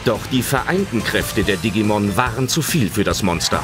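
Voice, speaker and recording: A man's voice: fairly low pitch, expressive, moderate pace, close to an everyday voice. A man as the dramatic storyteller of a German children's adventure audio drama, reading scripted narration. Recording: loud music under the narration.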